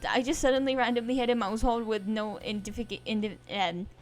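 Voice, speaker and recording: A woman's voice: on the high side, steady tone, fast, soft background music. Chatty woman streamer. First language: Czech. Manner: rambling and chatty, trails off into asides. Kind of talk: casual let's-play commentary.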